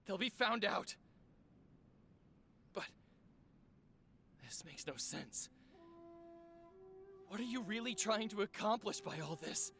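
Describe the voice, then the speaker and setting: Game hero: a wounded, honorable man, gravelly, halting, dramatically swooping delivery over music. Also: high-pitched, animated, fairly bright tone, a little hiss or hum, exaggerated voice. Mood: shocked, angry. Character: righteous, defiant man, wounded and facing death. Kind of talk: dramatic video game acting.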